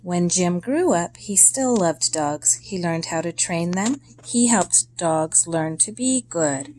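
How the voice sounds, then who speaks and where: A woman reading a children's story aloud in a deliberate, expressive way, in short phrases with pauses. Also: on the low side, a little hiss or hum.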